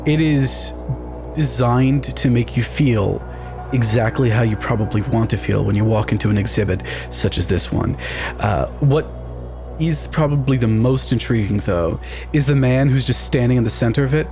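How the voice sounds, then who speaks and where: A man improvising descriptive tabletop game narration in deliberate, evocative phrases with pauses. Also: medium pitch. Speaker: tabletop game player, storyteller. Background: music.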